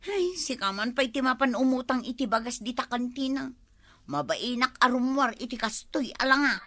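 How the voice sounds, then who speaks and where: Actress as quarrelsome Ilocano wife in comedy drama, high and sharp, proud and bitter. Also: female voice, expressive, normal speed, no room sound. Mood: bitter, complaining.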